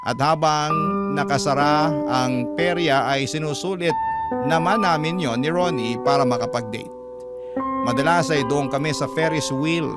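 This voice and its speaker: A man narrating a first-person love story letter in a heartfelt way, now and then speaking straight to the listeners. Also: on the high side. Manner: heartfelt, addressing listeners directly. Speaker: radio drama host and narrator. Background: guitar music.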